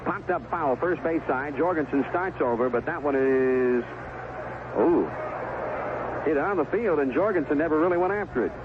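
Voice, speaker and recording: American baseball announcer on tinny vintage radio. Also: male voice, a little high, animated, moderate pace. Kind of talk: live baseball radio commentary. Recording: tinny, noisy 1970s AM radio broadcast.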